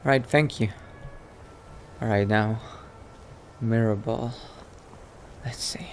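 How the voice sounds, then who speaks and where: Halting, muttering male vampire adventurer, played by a man. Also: fairly low pitch, slight background noise. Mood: musing, polite. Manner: halting, muttering, repeats himself. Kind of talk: improvised video game roleplay.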